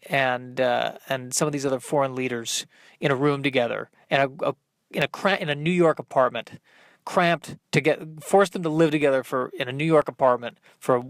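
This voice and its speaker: A man hosting a solo comedy podcast, rambling and thinking aloud in a halting, self-deprecating way. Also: mid-range voice, creaky, no room sound, studio quality. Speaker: podcast host working out stand-up comedy bits.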